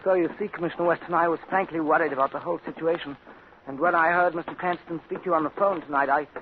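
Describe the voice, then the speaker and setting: A man's voice: fairly high pitch, steady tone, quick pace, lightly acted. An actor playing an earnest, anxious male butler who speaks formally and pleads his case, in 1940s radio acting. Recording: tinny scratchy 1940s radio broadcast.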